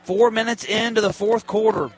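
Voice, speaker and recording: A man's voice: high-pitched, fairly even intonation, moderate pace. A man calling college football on the radio as a play-by-play announcer, in short, clipped present-tense bursts.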